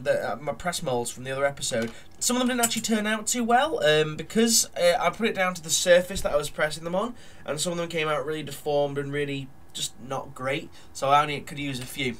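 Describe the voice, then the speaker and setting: A man's voice: on the high side, animated, brisk, a bit bright. A man with a nasal, blocked-up voice from a cold, chatting casually at his desk while narrating a model build, full of ums and uhs. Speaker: hobby model builder vlogger.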